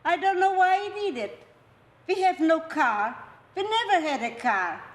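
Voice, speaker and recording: An actress playing an exasperated older wife who nags her husband, speaking slowly. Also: extremely high pitch, sing-song, exaggerated voice. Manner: nagging.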